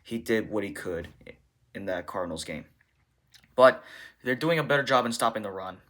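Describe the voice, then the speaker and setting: Male football coach, low-pitched but very bright, forceful tone, deliberate halting phrasing, press conference answers. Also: animated.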